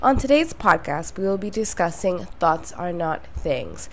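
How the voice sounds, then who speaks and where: A young woman talks through her solo podcast, her voice a little nasal from a cold. Her pitch sits low, with some gravel in it. She speaks in a reflective, conversational way. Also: big pitch swings, unhurried, a little hiss or hum. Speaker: podcast host.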